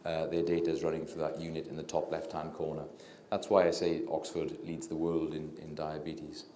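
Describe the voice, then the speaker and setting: A middle-aged man with a Welsh accent and a very deep, mellow voice lectures to an audience through a handheld microphone. He speaks quietly and hesitantly, with frequent fillers and restarts. The sound is roomy, with a faint hum of ambience behind him. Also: completely flat delivery, brisk. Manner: low-talking. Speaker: physician and researcher giving a public talk. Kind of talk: public lecture. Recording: echoey lecture room.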